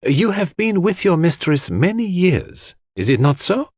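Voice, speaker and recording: A man's voice: sits high, big pitch swings, unhurried, darkish tone, slightly rough, dry recording, clean studio sound, pitched above the performer's own voice, heavily acted. A man voicing a courteous, businesslike detective who questions formally and persuasively in an audiobook mystery.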